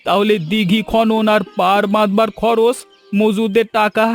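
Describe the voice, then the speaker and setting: A man's voice: high voice, level tone, measured pace, faint music behind. A man voicing a poor, anxious young village fisherman in a cartoon, pleading in rustic village speech. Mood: worried. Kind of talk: cartoon voice acting.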